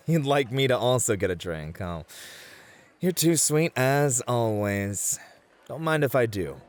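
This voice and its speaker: A man playing a lively, sassy bunny-boy host. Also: medium pitch. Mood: friendly, obliging.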